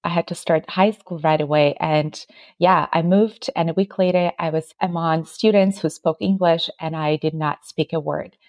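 A woman in her thirties from Ukraine, Ukrainian her first language, speaks at a low pitch and tells her story in a reflective, easygoing way. Her voice sits close and dry, with almost no room sound around it. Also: fairly even intonation, moderate pace, a little mellow, no echo. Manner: reflective, telling stories with small laughs. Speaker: podcast guest. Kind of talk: casual interview talk.

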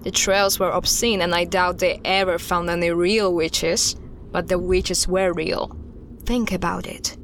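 A woman playing an earnest, guarded expert recounting dark history in an audio drama styled as a recorded interview, with a measured, serious delivery. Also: fairly low pitch, fairly even intonation, a little hiss or hum. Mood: earnest, insistent.

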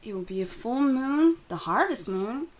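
An actress playing a secretive young woman from a cursed family, guarded and hushed. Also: on the high side, lively intonation, normal speed, natural voice, poor audio. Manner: hushed, guarded, then pleading. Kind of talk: audio drama acting.

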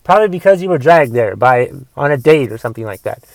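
A man with a raspy voice from a cough, rambling casually and with some swearing as he reviews a movie. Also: mid-range voice, animated, fast, no echo. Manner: rambling, profane, wondering aloud.

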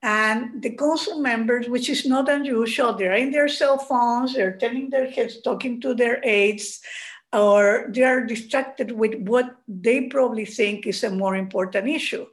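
A woman's voice: fairly high pitch, a little slow. An older woman with a heavy Chilean accent, a guest in an interview, telling her story earnestly with many fillers and restarts. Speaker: guest, mural advocate. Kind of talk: casual interview.

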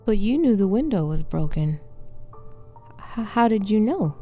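A woman's voice: mid-range voice, big pitch swings, unhurried, warm tone, faint music behind. A woman playing an anguished wife trapped in frozen time, in an emotional audio drama with narration and dialogue. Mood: curious, insistent.

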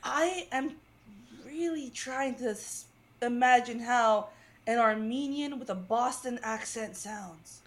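A woman's voice: fairly high pitch, slow, noisy background. A woman co-hosting a podcast, joking and telling stories in a casual chat. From United States. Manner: joking, animated storytelling. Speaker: podcast co-host.

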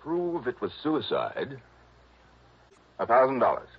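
A tight-fisted male insurance executive in a vintage radio drama. He is fussy and insistent, with a husky voice that rises and falls sharply. The recording is dull and muffled. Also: mid-range voice, wildly swooping pitch, slow pace, heavily acted. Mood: grudging, bargaining. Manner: insistent, clipped, businesslike. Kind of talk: early 1960s radio drama acting.

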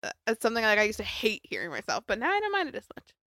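A young woman with a nasal, slightly high voice talks in a cheerful, chatty way. She co-hosts a relaxed conversation, and the recording is dry and close-miked. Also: racing, no room sound. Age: young adult. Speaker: co-host. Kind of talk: casual chat.

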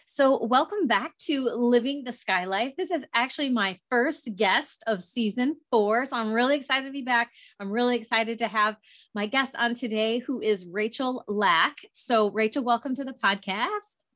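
A woman hosting a parenting podcast, slightly hoarse, talking earnestly with fillers. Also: on the high side, moderate pace, a bit bright. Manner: earnest, apologetic asides with fillers. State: slightly hoarse after illness. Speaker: podcast host. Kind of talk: podcast intro and interview.

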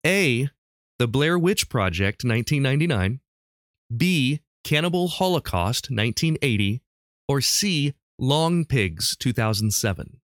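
A man's voice: medium pitch, sing-song, unhurried, no echo. Man hosting a casual quiz, dry sound. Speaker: podcast host running a quiz. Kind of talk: casual chat, reading quiz questions.

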